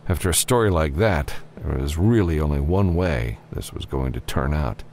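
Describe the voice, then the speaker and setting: Really deep guy narrating a novel, lots of movement in the pitch, faint noise behind. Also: expressive, normal speed, darkish tone. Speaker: audiobook narrator. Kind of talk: scripted fiction narration.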